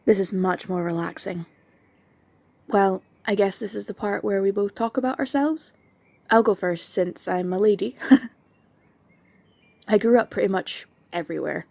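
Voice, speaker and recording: A woman's voice: medium pitch, unhurried, gravelly, long pauses, mellow, no room sound. A sweet young vampire girl. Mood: relaxed. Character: young vampire woman, friendly new neighbor. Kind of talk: ASMR audio drama.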